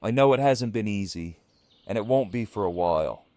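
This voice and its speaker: Man playing weary survivor leader, audio drama, firm earnest manner, lowish pitch, very dry sound. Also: lively intonation, moderate pace, mellow, no echo. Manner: commanding, earnest.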